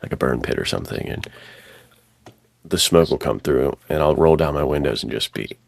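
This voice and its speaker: Very deep, gravelly man chatting casually. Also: lively intonation, moderate pace, dry recording. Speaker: podcast co-host. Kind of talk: casual podcast chat.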